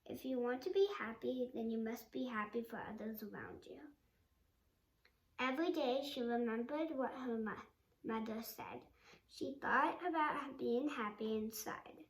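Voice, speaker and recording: A female reader reading a storybook aloud, haltingly and sounding out some words. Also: on the high side, far from the mic. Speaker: read-along reader. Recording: roomy sound with faint background noise.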